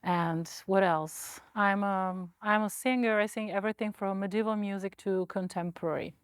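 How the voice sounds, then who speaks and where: A woman from Italy speaks English with an Italian accent, her voice smooth and resonant. The sound is dry and close, and her manner is relaxed. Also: mid-range voice, moderate pace, dry recording. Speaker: singer. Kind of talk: documentary interview.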